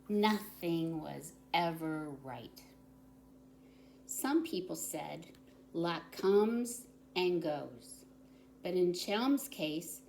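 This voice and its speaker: A woman's voice: on the low side, a little mellow. A woman volunteer reading to young children, explaining things simply, with chatty asides and questions to the listeners. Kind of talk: children's story time, read-aloud with chatty asides. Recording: roomy echo with audible background noise.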